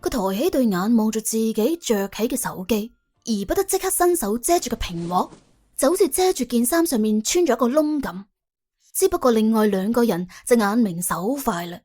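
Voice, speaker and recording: Female audiobook narrator, Cantonese, higher register, animated dramatic delivery with a wry, chatty edge. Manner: wry, colloquial, exclamatory. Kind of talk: dramatic scripted storytelling.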